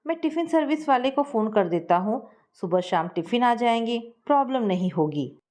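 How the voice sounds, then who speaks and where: A woman's voice: on the high side, animated, moderate pace, darkish tone, natural voice. A woman voicing a playful young married son who teases his mother. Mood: teasing. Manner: teasing.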